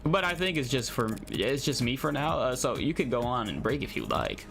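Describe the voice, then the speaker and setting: Man as sardonic game detective, dry and teasing, lively intonation, music beneath. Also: mid-range voice, normal speed, gravelly. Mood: wry, teasing. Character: wry, joking counselor-detective arriving at work. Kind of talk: visual novel game read-aloud.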